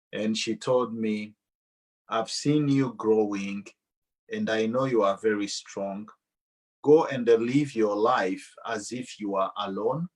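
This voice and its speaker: A man with a Rwandan accent shares a personal testimony to a church audience, speaking deliberately and halting with long pauses. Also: fairly low pitch.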